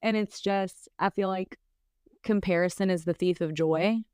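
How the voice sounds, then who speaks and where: A woman's voice: on the low side, normal speed, darkish tone, clear, resonant tone, no echo, studio quality. A woman in her thirties co-hosting a podcast, talking in a candid, casual way with lots of fillers and bits of laughter. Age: 30s. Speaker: podcast co-host. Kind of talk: casual chat.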